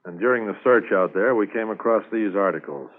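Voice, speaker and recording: An actor playing a keen, businesslike police investigator and dog handler in a brisk, earnest 1950s radio drama style. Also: male voice, lowish voice, lightly acted. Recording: noisy, tinny 1950s radio transcription.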